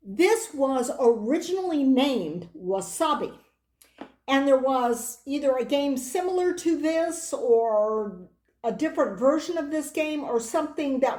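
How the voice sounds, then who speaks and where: A hoarse middle-aged woman hosting a board game channel, chatting casually in a rambling way with lots of side remarks. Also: high voice, a little mellow. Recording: roomy echo.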